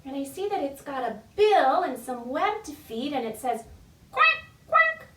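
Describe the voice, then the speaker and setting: A woman's voice: high-pitched, big pitch swings, measured pace, a bit bright. A woman leading a children's storytime in a playful, encouraging, sing-song way, with simple phrasing for babies and their parents. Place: indoor room, recorded virtual session. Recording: echoey room, distant microphone.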